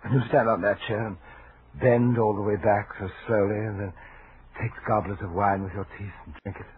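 An actor in a 1940s radio drama playing a courteous, lonely and menacing gentleman suitor who speaks with hesitant, insinuating formality. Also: on the low side, steady tone, brisk, slightly rough. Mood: probing. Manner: soft-spoken formal politeness, hesitant, insinuating. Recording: thin, phone-like 1940s radio recording.

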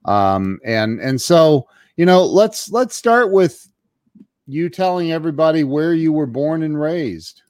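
A man's voice: fairly high pitch, expressive, unhurried, darkish tone, dry recording, studio quality. A man hosting an interview show, casual and enthusiastic, often repeating words as he goes. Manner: enthusiastic, repeats words and says wow a lot. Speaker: show host.

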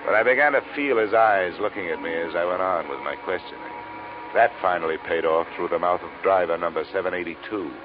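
An actor playing a cool, hard-boiled insurance investigator, narrating and talking in a crisp, wry, businesslike way in an old radio drama. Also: male voice, low-pitched, a little fast, background noise, natural voice. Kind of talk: 1950s radio detective narration and acting. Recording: narrow vintage radio recording.

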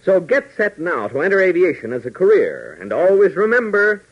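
An American man announces in dramatic 1940s radio style. His delivery swings widely in pitch and has a husky grain. The recording is dull and dated. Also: wildly swooping pitch, normal speed. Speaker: announcer and narrator.

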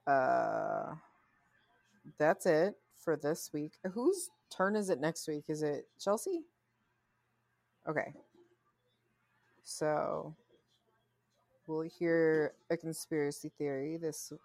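Low, creaky-voiced woman podcaster. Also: a little mellow, no room sound, studio quality. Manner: blunt, sweary. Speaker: podcast co-host. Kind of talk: casual chat.

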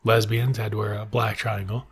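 A middle-aged American man from Mississippi with a husky voice, giving comic commentary in an irreverent, sardonic, swearing manner. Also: fairly low pitch, flat delivery, moderate pace, crowd noise. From Mississippi, United States.

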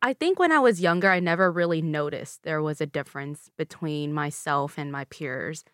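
A woman in her twenties, raised in the American South with Vietnamese as her first language, explaining her family story calmly and steadily in an interview. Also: low-pitched, moderate pace, no room sound, studio quality. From Louisville, Kentucky, USA. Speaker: interview guest. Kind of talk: conversational interview.

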